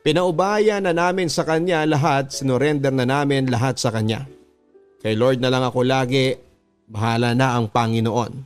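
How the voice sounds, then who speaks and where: A man's voice: mid-range voice, fairly even intonation, normal speed, smooth. A man with a Filipino accent, a radio host reading listener letters as earnest, scripted first-person storytelling. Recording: music bed under the voice. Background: background music.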